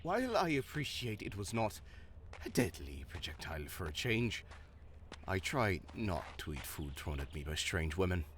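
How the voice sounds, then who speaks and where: A man's voice: low-pitched, big pitch swings, moderate pace, a bit bright, slight background noise. A man playing a sardonic town guard who teases with dry, mock-hesitant sarcasm in a fantasy audio drama. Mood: dry, teasing. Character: sardonic male town guard, playfully mocking old friend. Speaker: voice actor. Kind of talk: fantasy audio roleplay acting.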